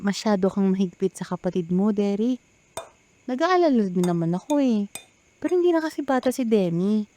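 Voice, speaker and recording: A woman's voice: mid-range voice, big pitch swings, fairly quick, warm tone, slight background noise, close to an everyday voice. A woman with a Filipino accent playing a mild, peacemaking mother of grown children in a radio drama. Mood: concerned, reasoning.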